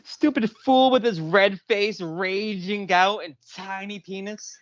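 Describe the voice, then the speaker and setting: A woman's voice: medium pitch, slow, no room sound, natural voice. Comic audio play: bitter, chatty female shop owner played by a woman, profane rambler, dull dry recording. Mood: bitter, gossipy. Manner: rambling, repeats herself, profane. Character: chatty, bitter married female video store owner and wrestling boss.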